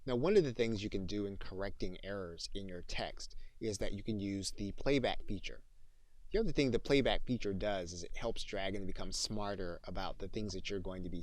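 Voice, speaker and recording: A man narrating an instructional software tutorial as a trainer, explaining things methodically. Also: low voice, moderate pace, no echo.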